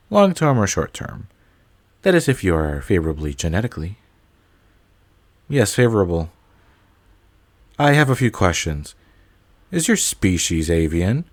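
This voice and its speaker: A man's voice: deep voice, a little mellow, no room sound. A man voicing a blunt, eager alien seeking a mate, speaking in a clinical, formal way in an audio roleplay.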